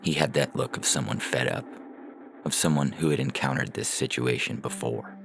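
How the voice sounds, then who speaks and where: A man with a gravelly voice reading a scripted first-person story as narration. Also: very deep voice, moderate pace. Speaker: fiction podcast reader and announcer. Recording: music bed behind the voice.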